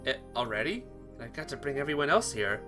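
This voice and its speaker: An earnest teenage boy from a visual novel, read by a man, caring but hesitant, in a mid-pitched voice with clear music behind him. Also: sing-song, brisk, lightly acted. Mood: hesitant, puzzled.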